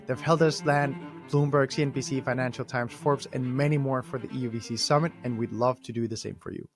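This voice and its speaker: A man's voice: medium pitch, fairly even intonation, music in the background. A young man with a Danish accent hosting a podcast, chatting casually and reading sponsor spots in an upbeat, jokey way. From Denmark. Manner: upbeat, jokey, fast-talking. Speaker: podcast host.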